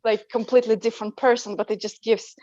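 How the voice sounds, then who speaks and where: A woman's voice: fairly high pitch, quick pace, dry recording. Guest, woman, Russian native speaking English, matter-of-fact, muffled dead-dry mic. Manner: calm, matter-of-fact, with hesitant fillers. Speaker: language teacher and guest. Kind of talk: interview answers. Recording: dull dry lo-fi sound.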